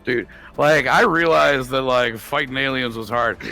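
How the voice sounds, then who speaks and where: A male player chats at a tabletop roleplaying session. His voice is mid-pitched and bright, and his delivery is exclamatory and excitable with free swearing. Faint music plays behind him. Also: unhurried. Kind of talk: casual game-table chat.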